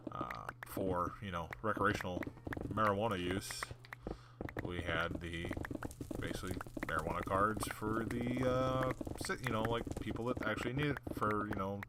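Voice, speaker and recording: A man's voice: on the low side, moderate pace, very noisy. A young adult man hosting a gaming video, telling stories from work in a loose, rambling way with lots of fillers. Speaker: gaming channel host. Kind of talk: casual storytelling over gameplay. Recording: clear background clicking.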